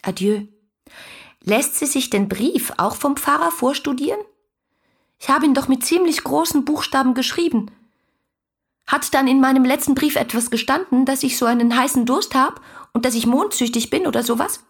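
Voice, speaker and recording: An actress playing an ardent, dreamy young letter writer, breathy and confiding, in a radio play. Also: female voice, high voice, normal speed. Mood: confiding, musing. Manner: musing, confiding, intimate.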